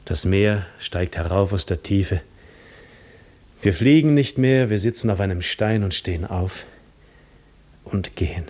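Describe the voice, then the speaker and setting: A yearning old-time radio drama seafarer, lowish voice, poetic and dreamy, goes from hushed to fervent. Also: male voice, breathy edge, exaggerated voice. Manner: dramatic, lyrical delivery, swelling from hushed to fervent. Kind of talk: 1950s German radio play monologue, literary first-person narration.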